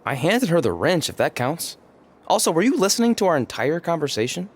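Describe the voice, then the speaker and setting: An actor playing a wry, stubborn young wrestler in a video game, talking conversationally with dry sarcasm. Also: male voice, a little high, big pitch swings, brisk, breathy edge. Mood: wry, casual. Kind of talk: video game cutscene acting.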